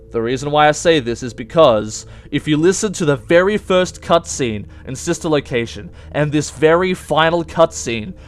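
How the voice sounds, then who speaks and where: A young adult man hosting casual game theory commentary, addressing viewers directly and emphatically. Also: a little high. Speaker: YouTube game theory host.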